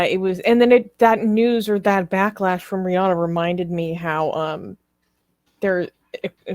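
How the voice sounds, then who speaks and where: A woman co-hosting a political talk show, speaking in an informal, animated and emphatic way with strong opinions. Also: on the low side, dry recording, studio quality. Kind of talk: casual, opinionated live discussion.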